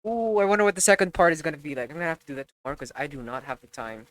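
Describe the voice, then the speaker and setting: A woman game streamer giving casual, expressive live commentary, joking and swearing as she plays. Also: low voice, very fast, dry recording.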